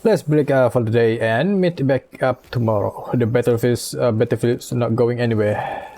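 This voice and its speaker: A man doing casual game playthrough commentary, halting as he reads choices and adds short asides. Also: medium pitch, dark tone. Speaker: game streamer.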